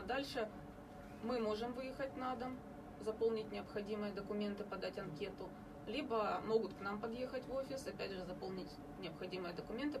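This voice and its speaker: Ukrainian woman explaining slowly, distant mic. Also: medium pitch. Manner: measured explaining with many hesitation fillers. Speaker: home care agency representative. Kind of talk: radio studio interview. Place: small radio studio. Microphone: studio mic on boom arm, captured by far camera. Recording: distant camera audio with background noise.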